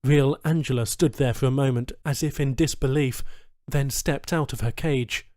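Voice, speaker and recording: A man's voice: medium pitch, fairly even intonation, normal speed, darkish tone, dry recording, clean studio sound. A man reading a science fiction short story as a scripted audiobook narration.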